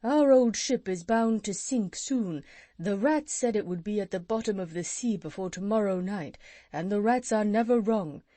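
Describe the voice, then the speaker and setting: A wise owl murmurs calm advice, as a woman reading a storybook puts it on. The sound is dry and close. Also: medium pitch, moderate pace, dry recording, lightly acted. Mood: hushed. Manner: hushed, urgent advice.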